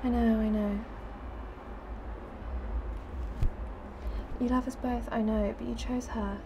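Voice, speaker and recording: A woman's voice: a little high, flat delivery, brisk, close to an everyday voice. A young woman acting a hurt ex-girlfriend putting on a brave, wry face in naturalistic film dialogue on a phone call. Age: young adult. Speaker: actress in a short film. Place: phone box on a city street. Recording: clear street and traffic noise.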